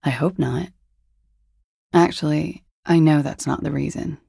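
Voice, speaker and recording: An actress playing a cool, guarded young woman in a video game, with a husky, breathy, gravelly voice and a languid, dryly understated delivery. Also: low-pitched, fairly even intonation, a little slow, dark tone, dry recording, heavily acted. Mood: guarded, uneasy. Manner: languid, dry, wryly understated. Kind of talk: video game character dialogue.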